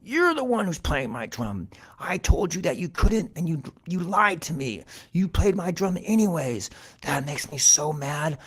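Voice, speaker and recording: An indignant storybook cat, played by a man, hot-tempered and possessive. The voice is pushed high and swings widely in pitch, repeating its threats and accusations. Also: sing-song, a little slow, a bit bright, breathy edge, heavily acted. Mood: furious. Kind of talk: animal folktale storytelling.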